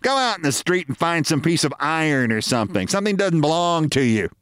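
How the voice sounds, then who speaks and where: A man's voice: a little high, sing-song, fairly quick, fairly bright tone, no room sound, clean studio sound. A man voicing a nagging, bossy middle-aged wife with a scolding, exasperated and sarcastic manner.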